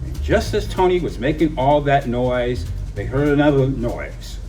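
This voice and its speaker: A man reading a children's story aloud in an expressive narrating style. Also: medium pitch, a little slow. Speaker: storybook reader. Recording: distant mic, music behind.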